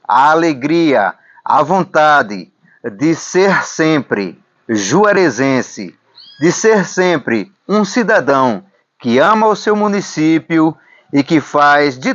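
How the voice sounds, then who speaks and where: A man's voice: fairly high pitch, a bit bright, no echo. A man reading a scripted, celebratory documentary narration in a declamatory way. The voice-over sounds dry and slightly dull.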